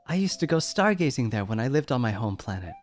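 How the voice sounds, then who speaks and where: A man's voice: medium pitch, big pitch swings, quick pace, natural voice. A man voicing a tender, lonely star mother. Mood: wistful. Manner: soft-spoken, tearful when upset. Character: lonely young woman raising star children, tender. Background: background music.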